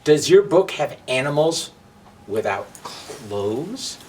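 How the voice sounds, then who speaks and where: A man voicing a nosy, disapproving talking match from a storybook, with stern, drawn-out scolding. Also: medium pitch, distant mic. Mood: stern, suspicious. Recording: clear steady hum of background noise.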